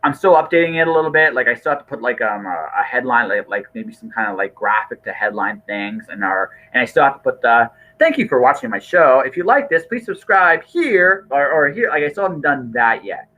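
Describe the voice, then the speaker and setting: A man's voice: mid-range voice, animated, fairly quick, few pauses, sharp tone, dull audio. A middle-aged man hosting a casual podcast conversation, earnest and rambling, often repeating his words. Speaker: podcast host.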